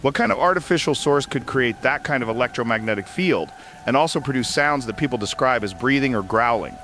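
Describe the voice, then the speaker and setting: A man playing an excitable radio host in a scripted drama, pressing with emphatic, slangy, incredulous questions. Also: medium pitch, a little fast, a little hiss or hum, natural voice. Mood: startled, probing. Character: excitable male talk radio host probing conspiracy theories.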